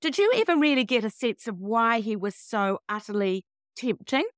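A woman's voice: on the high side, expressive, moderate pace, clear, resonant tone, no room sound, clean studio sound. A woman hosting a book podcast, moving between interview questions and scripted announcements. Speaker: podcast host.

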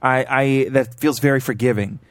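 A man's voice: mid-range voice, steady tone, normal speed, dry recording. A man co-hosting a casual movie podcast, rambling and swearing freely, with frequent restarts. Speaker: podcast co-host. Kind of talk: casual movie review chat.

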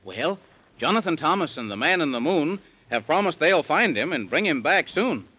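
A man's voice: a little high, sing-song, moderate pace, sharp tone, husky, poor audio. A man narrating a 1930s radio children's serial in rhyme, with a theatrical sing-song storytelling delivery.

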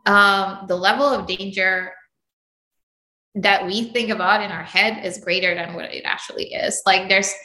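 A young adult woman from Indonesia, a podcast guest telling travel stories in an animated, laughing way. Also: medium pitch, moderate pace, roomy sound, low-quality recording. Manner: animated storytelling, frequent laughs. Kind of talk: casual interview chat.

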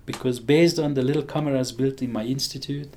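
An older man with a Hungarian accent answers interview questions with eager enthusiasm. His voice has a gravelly, creaky edge at a middle pitch. He chuckles often and stumbles into restarts as he talks. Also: fairly even intonation, fairly quick, a little mellow. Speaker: physicist interviewee. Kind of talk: conversational interview.

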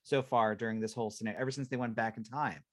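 A man co-hosting a casual podcast chat, talking in an animated way and acting out quotes as he goes. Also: mid-range voice, very fast. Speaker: podcast co-host.

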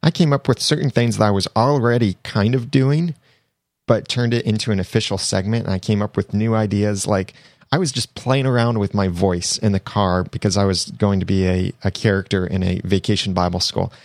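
A man's voice: lowish voice, fairly even intonation, a little fast, no room sound. Chatty young man hosting a podcast. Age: young adult. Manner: chatty, upbeat, enthusiastic. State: getting over a cold. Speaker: podcast host. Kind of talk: solo podcast tips monologue.

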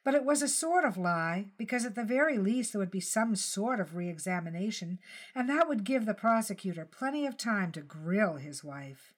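Woman reading a short story, audiobook narration, medium pitched voice. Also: animated, moderate pace. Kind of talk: scripted fiction narration.

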